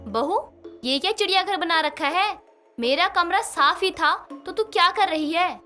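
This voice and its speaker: A woman voicing a stern, sarcastic old Indian mother-in-law who scolds and bosses in an animated moral story. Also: extremely high pitch, measured pace, heavily acted. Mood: scolding. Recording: music bed behind the voice.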